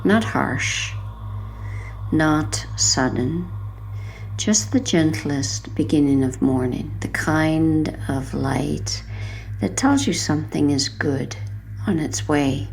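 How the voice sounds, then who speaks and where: Slow, low-pitched woman guiding sleep hypnosis. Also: vocal fry, a little hiss or hum, poor audio. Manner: soothing, hushed, unhurried with long pauses. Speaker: hypnosis audio guide. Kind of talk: guided sleep hypnosis narration.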